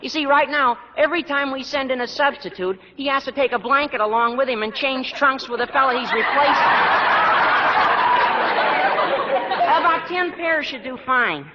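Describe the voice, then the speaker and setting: An actor playing an earnest teenage schoolboy in an old radio sitcom, squeaky and over-elaborate, stammering as he goes. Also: brisk, few pauses, over a noisy crowd, heavy background noise. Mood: earnest complaining. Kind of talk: 1940s-50s radio sitcom acting. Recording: muffled old radio recording with studio audience.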